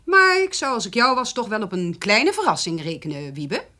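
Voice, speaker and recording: A woman's voice: medium pitch, very animated, normal speed, bright, forceful tone. An actress playing a wry middle-aged wife who challenges her husband with dry, pointed remarks in a radio drama.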